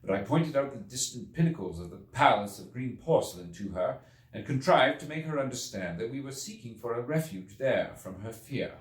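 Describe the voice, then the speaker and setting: A man voicing an earnest Victorian gentleman time traveler recounting his ordeal in a storybook reading, formal, brooding and deliberate. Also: medium pitch, lively intonation, lightly acted. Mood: uneasy, hushed. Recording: echoey room, distant from mic.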